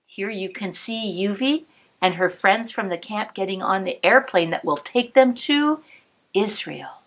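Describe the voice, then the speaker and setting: A woman cantor reading a picture book aloud to children, pausing to explain the pictures, sometimes dropping to a whisper. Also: medium pitch. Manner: slow, expressive storybook reading with soft whispered asides. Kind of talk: read-aloud storytime with asides to kids.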